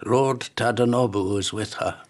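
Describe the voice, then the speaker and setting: An actor in a radio drama playing a gloomy, wary older lord at a Japanese court, with a husky voice. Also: male voice, mid-range voice, moderate pace, dry recording, close to an everyday voice. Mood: anxious, warning. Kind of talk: BBC radio drama acting.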